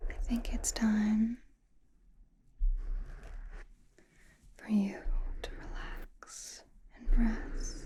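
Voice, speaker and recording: A woman playing a calm, knowing, teasing sorceress who reads tarot in an ASMR roleplay, with a breathy, soothing whisper and deliberate delivery. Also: mid-range voice. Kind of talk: ASMR roleplay, personal attention.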